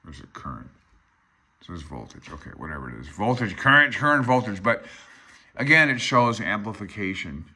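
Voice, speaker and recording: An older man with a low-pitched voice and a sharp, forward tone works through a technical text aloud. He presents it informally as a hobbyist, often breaking off into unsure, self-effacing comments of his own. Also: big pitch swings, a little fast, breathy edge. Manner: hesitant, self-deprecating, unsure of himself. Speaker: amateur radio hobbyist presenter. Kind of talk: informal tutorial, reading aloud from a handbook with asides.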